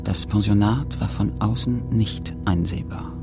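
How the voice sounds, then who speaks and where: A man with a raspy, gravelly voice reading sober literary narration in a radio play. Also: on the low side, unhurried, music in the background.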